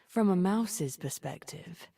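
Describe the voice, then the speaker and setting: An actress playing a cunning, vengeful sorceress in a video game, speaking with breathy, deliberate, haughty menace. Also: female voice, fairly low pitch, expressive, mellow, exaggerated voice. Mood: cold, haughty. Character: cunning, vengeful sorceress schemer, cold and imperious. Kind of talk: theatrical video game voice lines.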